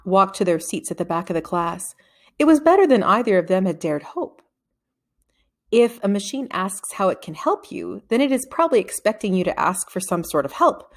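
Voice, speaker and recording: A woman narrating a children's storybook from a script, reading expressively and acting out the dialogue. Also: medium pitch. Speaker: read-aloud narrator of a children's novel.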